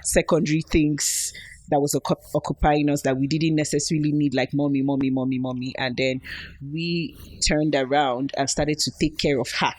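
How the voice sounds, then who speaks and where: A woman guest on an interview podcast, speaking in a reflective, unhurried way and breaking into laughter often. Her phrases trail off and restart as she thinks aloud. The sound is dry and close, with no room around it. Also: sits low, steady tone, a little mellow, dry recording. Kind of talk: casual interview talk.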